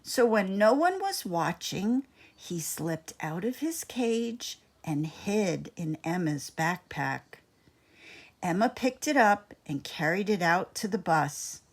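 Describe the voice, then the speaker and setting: A woman reading a children's story aloud in an expressive, deliberate narrating style. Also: lowish voice. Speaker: storybook reader.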